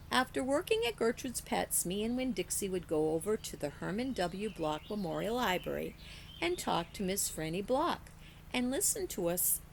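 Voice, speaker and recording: A woman reads a children's novel aloud in an expressive, teacherly style. Her pitch moves in lively swings and often settles into a creaky edge. Faint noise sits behind the voice. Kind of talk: expressive children's story reading.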